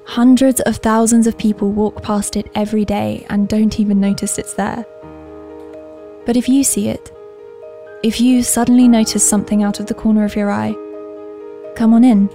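An actress playing an earnest young shop assistant in an audio drama, confiding her story in a hushed, reflective way. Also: medium pitch, flat delivery, moderate pace, faint music behind, close to an everyday voice. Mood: warm, welcoming. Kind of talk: intimate first-person audio drama monologue.

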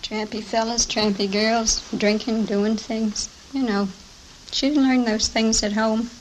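A high-voiced, worn-out older mother played by a woman in a vintage radio drama, plain-spoken and bitter as she complains. The old recording is noisy, with small clicks. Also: level tone, a little slow, natural voice. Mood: ashamed, bitter. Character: small, thin, gray-haired mother running a laundry, bitter and weary. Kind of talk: 1950s radio drama acting.

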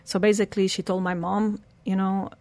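A woman's voice: medium pitch, flat delivery, a little fast, a little mellow, no echo. A woman, telling her life story earnestly as an interview guest. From Portugal. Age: 40s. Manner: earnest, storytelling, slightly broken English phrasing.

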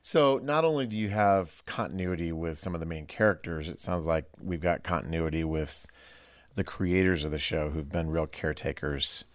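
A man's voice: low-pitched, normal speed, no echo. A man hosting a casual panel discussion, putting questions to his guests in a conversational way. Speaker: host asking guests questions.

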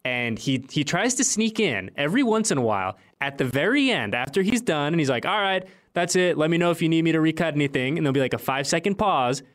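A man's voice: fairly high pitch, big pitch swings, fast, hardly any pauses. A man hosting a sports interview, bantering casually in a playful, teasing manner. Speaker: sports show host, interviewer.

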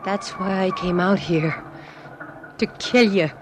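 A woman's voice: medium pitch, slow, background noise, natural voice. An actress playing a hardened, sardonic young woman out for revenge in a 1940s radio drama. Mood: grim, menacing. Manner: tough, sardonic. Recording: vintage 1940s radio recording.

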